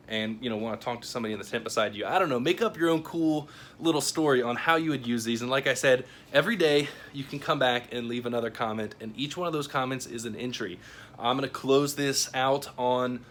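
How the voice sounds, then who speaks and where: A man's voice: mid-range voice, fairly bright tone. An American man talking casually to camera as a YouTube host, in an informal, conversational way. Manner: informal, conversational, chatty phrasing. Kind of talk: casual product review and giveaway talk to camera. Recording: clearly noisy ambient background.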